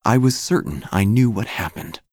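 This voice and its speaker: An actor playing a melancholy, haunted obituary writer, narrating gothic horror in a solemn, literary manner. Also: male voice, lowish voice, animated, moderate pace, a little mellow, dry recording, studio quality. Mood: grave. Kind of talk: scripted horror audio drama narration.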